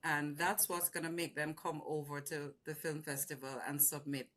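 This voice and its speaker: Laughing Jamaican woman, low bright voice. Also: flat delivery, normal speed. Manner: effusive, laughs often, many restarts. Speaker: film festival programmer. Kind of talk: casual video-call chat.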